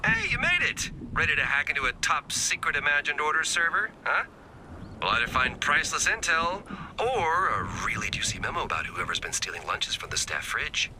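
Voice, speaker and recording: A goofy, excitable scientist from a video game. His pitch swoops all over as he rambles in comic, self-deprecating fashion. There is clear ambient noise behind him. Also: brisk. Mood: excited. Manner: rambling, comic, swings from whispered asides to exclamations. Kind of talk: scripted game character dialogue.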